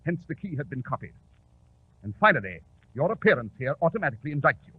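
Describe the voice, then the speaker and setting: A man playing a shrewd, commanding detective in 1940s radio drama, explaining with crisp authority. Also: a little high, very animated, fast talker. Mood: coolly triumphant. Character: shrewd, commanding English detective, coolly superior. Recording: tinny, noisy 1940s radio recording.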